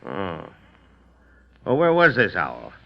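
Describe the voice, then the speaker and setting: An actor playing a skeptical, probing defense lawyer who questions with condescension in an old radio drama. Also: male voice, low-pitched, huge pitch swings, slow pace, gravelly, full character voice. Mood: doubtful, probing. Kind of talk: 1930s radio drama acting. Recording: dull old radio recording.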